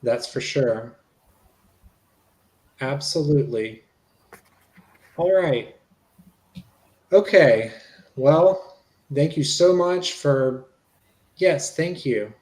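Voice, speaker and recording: Measured man playing a police investigator, echoey room. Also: a little high, lively intonation, darkish tone. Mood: polite, reassuring. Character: polite, reassuring male police investigator interviewing a witness. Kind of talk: dramatic reading of an interview transcript.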